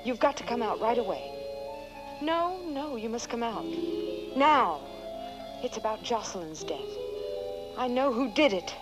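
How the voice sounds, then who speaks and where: A woman's voice: a little high, animated, measured pace, long pauses, loud music behind the voice. An actress playing an anxious, frightened woman with urgent, pleading delivery in a horror-comedy film. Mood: urgent, alarmed.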